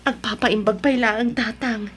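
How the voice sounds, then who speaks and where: A woman's voice: fairly high pitch, fairly even intonation, normal speed, fairly bright tone, slightly rough. A woman playing a devoted, distressed wife and mother in an Ilocano audio drama. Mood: concerned. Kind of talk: Ilocano radio-style drama acting.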